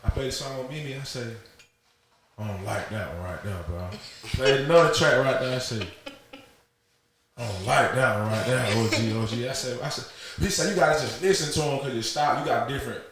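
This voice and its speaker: A man's voice: mid-range voice, fairly quick, a crowd in the background. A man hosting a casual interview, telling a story and acting out the lines of the people in it. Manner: storytelling, acts out quoted lines. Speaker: podcast host. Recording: very echoey room with noise.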